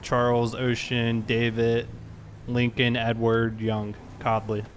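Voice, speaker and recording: Male police roleplayer, mid pitch, procedural and polite, faint background noise. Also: completely flat delivery, takes it slowly. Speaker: streamer role-playing a sheriff's deputy. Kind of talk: police roleplay game talk and radio calls.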